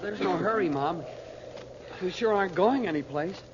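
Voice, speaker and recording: Wisecracking youth, animated, noisy recording. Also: male voice, high voice, normal speed. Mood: wry. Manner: wry, folksy, eager. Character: plucky, wisecracking youth on a family adventure. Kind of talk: old-time radio adventure serial acting. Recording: muffled, noisy old radio recording.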